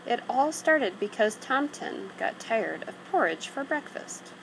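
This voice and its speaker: A woman's voice: fairly high pitch, big pitch swings, a little slow, creaky, a bit bright, slight background noise, rough recording. A woman reading a children's story aloud in a narrating style, as a storybook reader.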